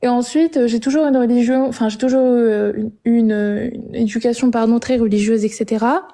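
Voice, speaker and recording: A young woman from Algeria calling in to share her story, talking hesitantly with many pauses and restarts. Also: on the high side, fairly even intonation, mellow. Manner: hesitant, frequent filler sounds and restarts. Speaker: caller. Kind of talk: personal testimony on a call-in show.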